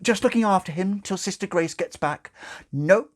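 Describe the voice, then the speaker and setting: Man putting on a high-pitched, chirpy nurse nun. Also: lightly acted. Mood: hurried, reassuring. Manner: gushing, hurried, reassuring. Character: helpful, chirpy, scatterbrained female nurse nun.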